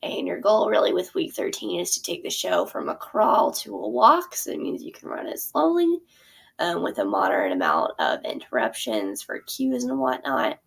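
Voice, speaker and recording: Young theater teacher lady, chatty, lots of ums, bit of vocal fry, voice bouncing up and down. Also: fairly low pitch, wildly swooping pitch, moderate pace. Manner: chatty, many ums, self-corrections. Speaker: theater teacher and curriculum creator. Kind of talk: casual explanatory product walkthrough.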